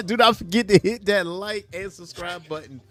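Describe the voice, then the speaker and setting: Male co-host, bright tone, congested, easygoing rambling talk. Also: high-pitched, unhurried. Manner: laid-back, rambling, laughs easily. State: stuffy nose from allergies. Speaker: podcast co-host. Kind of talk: casual chat.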